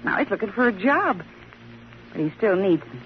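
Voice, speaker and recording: A woman's voice: mid-range voice, sing-song, normal speed, natural voice. An actress playing a devoted, anxious American woman in an old radio drama, speaking earnestly and emotionally. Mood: defensive. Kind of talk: 1950s radio drama acting. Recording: muffled, slightly noisy old radio recording.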